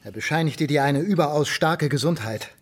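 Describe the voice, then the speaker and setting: An actor playing a stern, sardonic middle-aged father in a German radio drama, with a husky voice. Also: on the high side, close to an everyday voice. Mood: sardonic, accusing. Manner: stern, sarcastic, deliberate.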